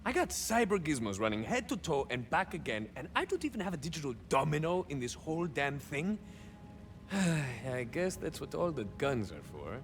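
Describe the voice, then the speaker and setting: An actor playing a swaggering young cyborg soldier of fortune in a video game, a touched flavor, musing theatrically and landing wry deadpan punchlines. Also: male voice, on the high side, normal speed, big-room sound, slight background noise. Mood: wistful. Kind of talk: video game character monologue.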